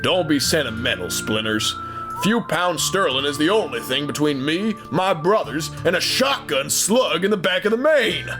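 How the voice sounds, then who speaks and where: A man's voice: on the high side, lively intonation, normal speed, voice pushed up, close to an everyday voice. A man voicing a tough, stubborn workhorse in an audio drama, speaking in blunt, folksy slang, unsentimental, with music behind. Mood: firm, dismissive.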